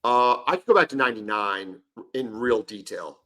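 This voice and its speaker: A man's voice: fairly low pitch, expressive, normal speed, bright, forceful tone. A man in his forties co-hosting a sports podcast, an eager storyteller who often jumps in and cuts across his partner. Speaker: podcast co-host. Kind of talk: casual sports chat.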